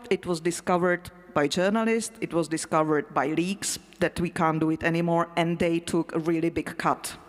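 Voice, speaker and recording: A woman, an English speaker, talking casually and haltingly as a panel speaker in a matter-of-fact way. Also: lowish voice, fairly even intonation. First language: not English. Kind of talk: role-played presentation as a crime boss to a live audience. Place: festival hall. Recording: echoey hall sound.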